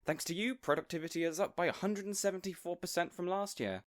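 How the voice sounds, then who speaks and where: A man's voice: high-pitched, quick pace, lightly acted. A man playing an affable, eager supervillain boss. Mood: earnest, appreciative. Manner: awkwardly earnest, chatty, playfully bossy. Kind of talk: audio roleplay acting addressed to listener.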